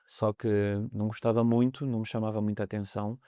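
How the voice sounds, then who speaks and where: A man's voice: fairly low pitch, little pitch movement, fast talker, warm tone, no room sound, studio quality. A man in his thirties from France with a French accent, a guest on a podcast interview, talking in a hesitant way with many fillers. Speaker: mortgage broker guest.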